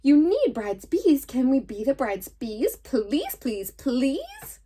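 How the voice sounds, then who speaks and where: Woman doing excitable, high-pitched pleading storybook bees. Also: slow pace, slightly rough, heavily acted. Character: excitable, pleading, demanding bees speaking as one.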